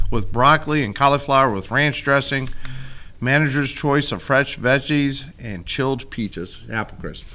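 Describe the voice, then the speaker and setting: Bright, forceful man giving school announcements. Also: mid-range voice. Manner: rallying, repeated check-in questions. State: voice starting to go hoarse. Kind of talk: informal off-the-cuff school announcements. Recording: clearly noisy background.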